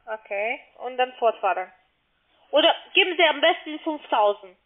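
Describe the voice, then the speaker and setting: High-pitched woman on the phone. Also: lively intonation, moderate pace. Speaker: call centre sales agent. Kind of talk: unscripted sales phone call. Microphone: telephone. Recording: muffled tinny phone line.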